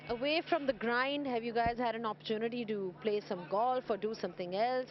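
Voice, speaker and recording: A woman presenting a pitch-side cricket interview, asking long, measured questions. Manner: measured, scripted-sounding, drawn-out questions. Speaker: sports presenter. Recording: slightly dull outdoor broadcast audio.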